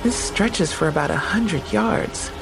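A woman's voice: mid-range voice, animated, measured pace, vocal fry, music in the background, poor audio. A woman playing a junior police officer in an audio drama, reporting facts in an eager, clipped, precise way. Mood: factual, focused. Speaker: actor in a fiction podcast. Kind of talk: full-cast audio drama dialogue.

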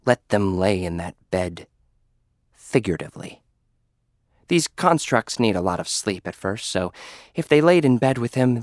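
A man's voice: on the low side, dry recording. A man narrating a first-person audio drama in a dry, sardonic, arrogant manner. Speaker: narrator and lead character.

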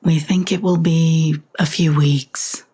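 A calm caregiver in an audio drama. Her voice is husky and slightly low. She is measured, soft and formal. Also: heavily acted. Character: calm private nurse, quietly formal caregiver.

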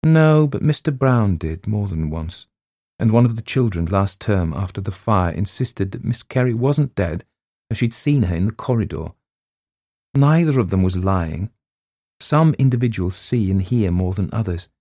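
A man voicing a brisk, matter-of-fact middle-aged female schoolteacher in a read story. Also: on the low side, expressive, mellow, no echo, clean studio sound.

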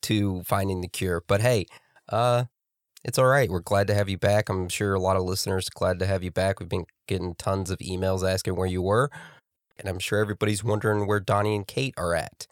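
A man hosting a casual podcast, congested from a cold, chatty and quick to laugh. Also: deep voice, fairly even intonation, no room sound, studio quality. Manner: laughing, chatty, talks over his co-host. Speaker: podcast host.

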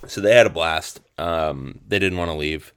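A man in his thirties hosting a casual sports podcast, slightly hoarse, rambling with lots of fillers and restarts. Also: rumbling low, normal speed. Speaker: podcast host and sports reporter.

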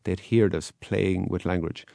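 An Irish man, a guest in an interview, answering in a relaxed, anecdotal way. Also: low voice, measured pace, gravelly, no echo. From Ireland.

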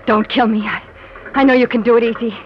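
A woman's voice: fairly high pitch, steady tone, quick pace, natural voice. An actress playing a young woman in a 1940s radio crime drama, with an earnest, theatrical delivery. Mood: pleading, frightened. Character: young woman, frightened but defiant, caught with killer. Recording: muffled old radio recording, faint noise.

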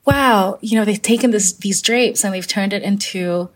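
A woman's voice: mid-range voice, moderate pace. Thoughtful young woman from Malaysia. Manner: thoughtful, many fillers and restarts. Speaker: fashion designer guest. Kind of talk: casual interview answers.

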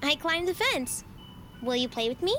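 Pushy, high-voiced little girl. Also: very animated, moderate pace, bright, forceful tone, a little hiss or hum, exaggerated voice. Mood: coaxing. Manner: insistent, coaxing. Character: strange little girl, pushy, pleading, eerie new neighbor. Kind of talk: immersive audio drama acting.

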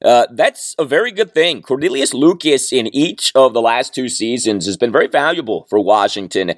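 A man hosting a sports podcast with a hoarse, fading voice from a cold, running through topics in a plainspoken, self-deprecating way. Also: mid-range voice, expressive, normal speed, no room sound, studio quality. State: hoarse, losing his voice from a cold. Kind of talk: solo sports podcast talk.

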